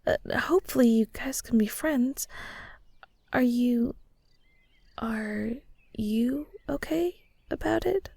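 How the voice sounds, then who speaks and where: A woman playing a shy, kind ghost in an intimate audio roleplay, speaking softly and hesitantly with stammers and nervous little laughs. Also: fairly high pitch, slow, dry recording, clean studio sound, heavily acted. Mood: gentle, concerned. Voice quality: soft, breathy. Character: shy, kind female ghost shade, awkward and caring. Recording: soft guitar music behind. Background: gentle guitar music.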